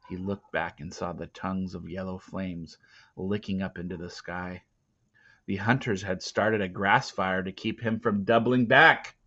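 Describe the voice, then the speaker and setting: Forceful male storyteller, theatrical delivery. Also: fairly low pitch, dull audio. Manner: theatrical, swinging between hushed and loud. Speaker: reader of a children's novel. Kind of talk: dramatic storybook narration.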